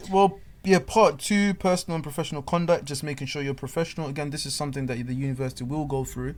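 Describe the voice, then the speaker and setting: A man's voice: fairly high pitch, fairly even intonation, fast. Earnest man giving teaching advice. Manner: repeats words while thinking, earnest advice-giving. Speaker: podcast co-host. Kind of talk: casual advice chat.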